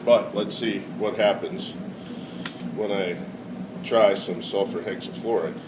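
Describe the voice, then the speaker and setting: A man's voice: fairly low pitch, steady tone, very noisy. Man with a cold, echoey and distant. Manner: explanatory, halting with fillers. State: has a cold. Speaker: science demonstrator. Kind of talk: casual classroom demonstration. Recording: echoey room, far from mic, loud air conditioning.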